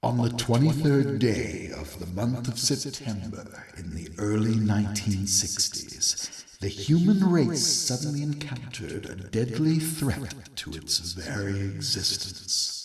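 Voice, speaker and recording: Guy doing a grave sci-fi b-movie prologue narrator, low voice, big swoops, sounds far from the mic. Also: expressive. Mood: ominous. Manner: grave, portentous, deliberate. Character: solemn prologue narrator of a 1960s alien-invasion B-movie. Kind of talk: scripted dramatic film prologue narration. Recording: echoey, distant from the mic.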